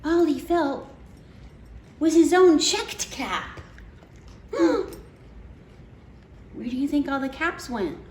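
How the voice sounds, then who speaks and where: A woman reads a children's picture book at an unhurried pace, with very lively, swooping intonation. She talks directly to young listeners and puts questions to them. The room is echoey, with a little background noise. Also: high-pitched. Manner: expressive, deliberate, asking listeners questions. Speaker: storytime host reading a picture book to children. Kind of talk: read-aloud storytelling with asides to child listeners.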